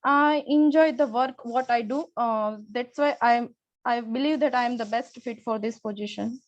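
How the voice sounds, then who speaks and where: This high-voiced woman. She gives an earnest, formal self-introduction as a student candidate over a video call. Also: moderate pace, lo-fi sound. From India. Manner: earnest, formal, plain phrasing. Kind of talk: prepared self-introduction speech.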